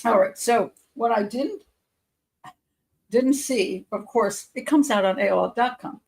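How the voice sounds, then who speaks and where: Gravelly-voiced woman hosting a live stream. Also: on the high side, a little slow. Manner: chatty and rambling, with frequent fillers and soft laughs. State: losing her voice, clearing her throat. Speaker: criminal profiler hosting a live members' show. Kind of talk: casual live-stream chat.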